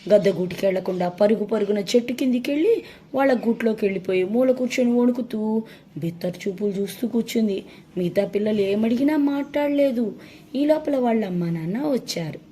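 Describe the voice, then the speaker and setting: A woman's voice: mid-range voice, moderate pace, warm tone. A woman narrating a children's story as a storyteller. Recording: faint background noise.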